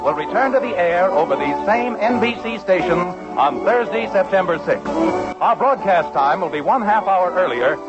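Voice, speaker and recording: A man's voice: sits high, animated, normal speed. An American man reading a polished, scripted sponsor sign-off as a 1950s radio announcer. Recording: dull 1950s radio over loud music.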